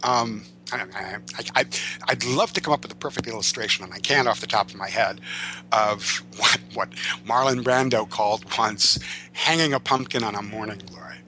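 A man's voice: on the low side, moderate pace, sharp tone, slight background noise, low-quality recording. A man speaking as an interview guest, conversational and wordy, often stammering over repeated words and circling back to rephrase. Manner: articulate, wordy phrasing. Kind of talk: conversational storytelling and opinion about comics and film.

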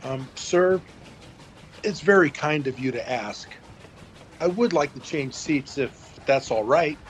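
A man's voice: on the high side, animated, slow, a little hiss or hum. An actor playing a guarded, evasive man in a radio drama, formal and hesitant. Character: guarded, evasive man hiding his romance.

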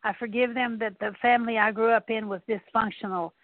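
A woman's voice: fairly high pitch, fairly even intonation, a little fast, no room sound. A woman leading a spoken prayer of repentance, earnestly repeating one confession after another. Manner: earnest, repetitive litany of confessions. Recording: tinny phone-like line, dry.

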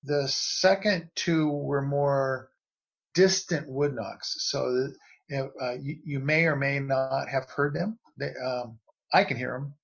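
A man giving casual explanatory commentary as a guest analyst, measured and tentative, on a slightly lo-fi recording. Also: medium pitch, gravelly. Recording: slightly dull, lo-fi sound.

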